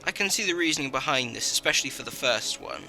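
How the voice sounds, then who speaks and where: A man's voice: medium pitch, lively intonation, quick pace, vocal fry, sharp tone. A man giving a scripted review as voice-over narration. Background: faint music.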